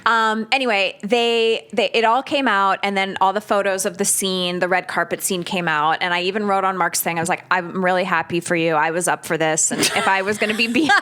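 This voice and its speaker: A woman's voice: medium pitch, steady tone, a little fast, hardly any pauses, a bit bright, clean tone. A woman hosting a podcast in casual chat, talking in a chatty, self-mocking way. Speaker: podcast host.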